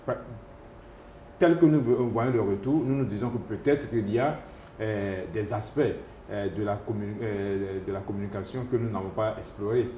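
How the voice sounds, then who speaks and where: A man's voice: on the low side, moderate pace, warm tone, a little hiss or hum. A man, a doctor answering interview questions, hesitant, with many fillers and restarts. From Côte d'Ivoire. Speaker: epidemiologist doctor. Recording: echoey room with faint ambience.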